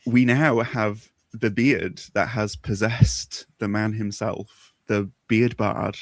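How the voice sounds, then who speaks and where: A man's voice: lowish voice, steady tone, slow pace, no room sound. A man hosting a casual livestream chat in a playful, jokey, enthusiastic way. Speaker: host.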